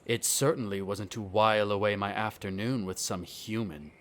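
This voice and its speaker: A man's voice: fairly low pitch, fairly even intonation, moderate pace, close to an everyday voice. A man acting a smug, contemptuous aristocratic vampire in an audio roleplay, with formal, disdainful diction. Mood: wry, dismissive.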